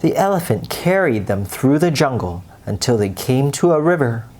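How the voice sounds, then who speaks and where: Very low-pitched, animated woman storyteller. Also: normal speed. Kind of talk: children's bedtime story read-aloud.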